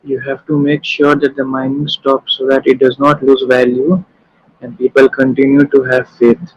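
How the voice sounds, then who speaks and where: A man argues his opinion in a very even voice of medium pitch. The recording is dry and somewhat muffled, with no room echo. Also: moderate pace. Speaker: community discussion participant. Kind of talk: arguing an opinion. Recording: dry, dull lo-fi audio.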